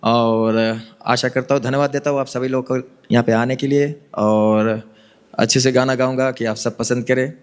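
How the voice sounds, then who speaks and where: A man's voice: medium pitch, steady tone, very fast, slight background noise. A man from Fiji introducing himself as a contestant in a plain, direct way. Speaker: singing contestant.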